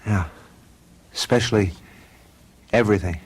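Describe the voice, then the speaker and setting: An actor playing a weary, resigned husband in a radio mystery drama, terse and wry. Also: male voice, fairly low pitch, measured pace, breathy edge. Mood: uneasy. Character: weary, resigned husband and father stranded in fog.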